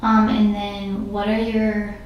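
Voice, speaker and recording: A young woman asks interview questions in a hesitant way, with plenty of fillers. Her voice stays flat and even. She sits away from the microphone in a room with a strong echo. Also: mid-range voice, slow, lo-fi sound, a little hiss or hum. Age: young adult. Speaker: student interviewer. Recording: roomy echo, speaker distant from the mic.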